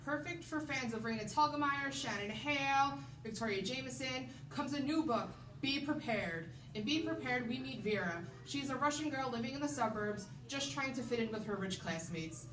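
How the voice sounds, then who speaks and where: Casting: female librarian presenting prepared book picks, recorded off-mic with clear background noise. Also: sits high, expressive, normal speed, hardly any pauses. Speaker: children's librarian. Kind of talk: scripted book recommendation. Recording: roomy, distant mic, noisy background.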